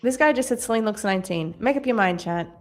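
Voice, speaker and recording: A woman's voice: medium pitch, lively intonation, fast. A woman in her twenties co-hosting a live trading stream, chatting casually, laughing often and reading viewer comments aloud. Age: 20s. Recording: faint music underneath.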